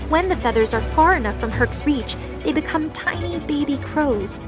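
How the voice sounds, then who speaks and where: A woman's voice: high-pitched, lively intonation, moderate pace, music in the background. A woman reading scripted, dramatic story narration as the narrator of an audio drama.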